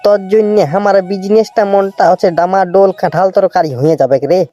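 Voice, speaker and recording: An actor with a rural Purulia Bengali accent voicing a brash, stubborn village ice-cream hawker in a comedy cartoon, teasing and repeating singsong street calls. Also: male voice, high voice, steady tone, brisk, mellow, muffled recording, lightly acted. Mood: teasing, indignant. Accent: rural Purulia, West Bengal. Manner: repetitive singsong street-vendor calls, scolding banter. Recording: music behind the voice.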